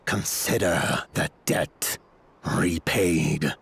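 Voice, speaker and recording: Very deep, gravelly, proud creature. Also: male voice, fairly bright tone, slight background noise, heavily acted. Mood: solemn, proud. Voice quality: very gravelly, rough. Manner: slow, terse, solemn pronouncements. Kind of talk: scripted fantasy game acting.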